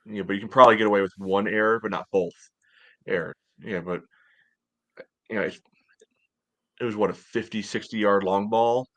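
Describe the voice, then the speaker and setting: A man in his thirties giving casual sports analysis in a measured, conversational way as a podcast co-host on a video call from home. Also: on the low side, steady tone. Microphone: headset on video call.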